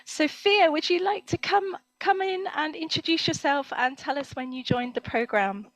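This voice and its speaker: A woman's voice: sits high, moderate pace, no room sound, low-quality recording. A woman hosting an interview over a video call, upbeat and encouraging, often praising the guest's answers. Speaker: interview host. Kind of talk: hosted interview.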